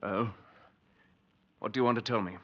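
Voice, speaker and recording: A man's voice: fairly low pitch, steady tone, normal speed, natural voice. An actor playing a guilt-ridden, grimly determined wealthy man in a 1940s radio horror drama, with an earnest, dramatic delivery. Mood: wary, defiant. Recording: dull, lo-fi vintage 1940s radio recording.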